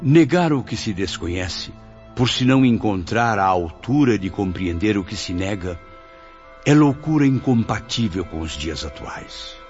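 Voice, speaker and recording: An actor with a Brazilian accent playing a tormented dead man who narrates his afterlife in a radio drama, gravely and dramatically. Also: lowish voice, lively intonation, unhurried, a little mellow. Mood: solemn. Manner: grave, dramatic, literary delivery. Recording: muffled lo-fi recording, music behind.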